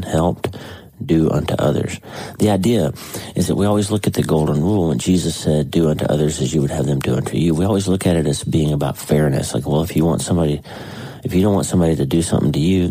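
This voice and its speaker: Very deep, congested, husky man. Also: mellow, dry recording, poor audio. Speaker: podcast host. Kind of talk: casual devotional talk.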